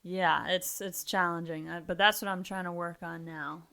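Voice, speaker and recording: This is a woman with a slightly low voice and a bright, forceful edge. She talks casually and conversationally, as a comedian hosting a podcast would. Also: fairly even intonation, normal speed, gravelly, smooth. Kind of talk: casual chat.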